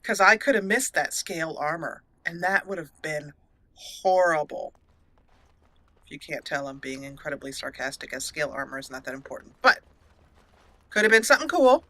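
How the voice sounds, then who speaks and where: Bright-voiced woman narrating her gameplay. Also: deep voice, lively intonation, moderate pace, no room sound. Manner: chatty, giggly, self-mocking sarcasm. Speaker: let's play host. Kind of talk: casual gameplay commentary. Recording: faint game music behind. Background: game music.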